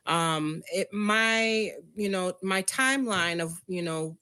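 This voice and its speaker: A woman's voice: mid-range voice, slow, sharp tone. A woman in her fifties from Minneapolis, a guest, reflecting on her story. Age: 50s. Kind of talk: personal storytelling.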